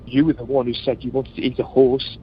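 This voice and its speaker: A man's voice: mid-range voice, little pitch movement, brisk, lightly acted. A man playing a jokey, needling newlywed husband in an amateur comedy radio play. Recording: muffled conference call, faint noise.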